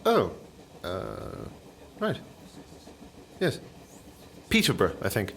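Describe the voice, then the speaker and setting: An actor playing an affable, bumbling train passenger in a radio play, polite, hesitant and rambling in naturalistic dialogue. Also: male voice, fairly low pitch, wildly swooping pitch, slow pace, creaky, slight background noise, full character voice. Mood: chatty, mild.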